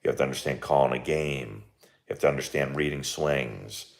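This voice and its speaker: A man, hoarse and losing his voice, chatting casually on a live stream about the game, rambling with lots of fillers and restarts. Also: moderate pace. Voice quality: very deep and gravelly. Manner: rambling, filler-heavy with many likes and restarts. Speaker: baseball coach streaming live.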